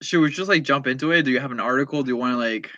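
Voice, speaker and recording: Bright-voiced laid-back young man. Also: mid-range voice, very fast, dry recording, lo-fi sound. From Peru. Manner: laid-back, laughing, profane. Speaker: podcast co-host. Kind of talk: casual chat.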